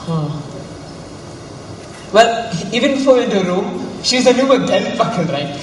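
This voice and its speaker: A man's voice: sits high, animated, a little slow. An actor in a stage play playing a crude, cocky womanizer who needles others and gets defensive. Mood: boastful. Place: theatre stage, recorded from far off. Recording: echoey hall, distant mic, faint noise.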